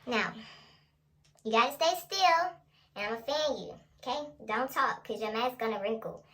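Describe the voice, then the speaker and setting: A woman's voice: a little high, expressive, normal speed, sharp tone. A young woman vlogger talking casually in a playful, slangy way, as in an ASMR role play.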